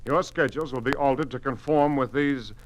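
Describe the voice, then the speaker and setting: An actor playing a principled, frustrated museum director in a radio drama, speaking formally in a measured, emphatic way. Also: male voice, mid-range voice, natural voice. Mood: grave, formal. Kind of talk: mid-century radio drama acting. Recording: noisy old radio recording.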